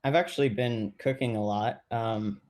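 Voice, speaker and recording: A man playing a thoughtful, caring amateur baker in documentary theater, talking casually and haltingly. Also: mid-range voice, darkish tone, lightly acted.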